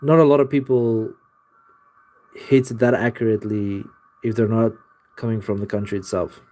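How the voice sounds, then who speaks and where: A man's voice: on the low side, steady tone, normal speed, mellow. A young man, chatting loosely and profanely with viewers on a livestream from his home art studio. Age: young adult. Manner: rambling, loose and profane, with many "like" fillers. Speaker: livestreamer and artist. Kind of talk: casual livestream chat answering viewers.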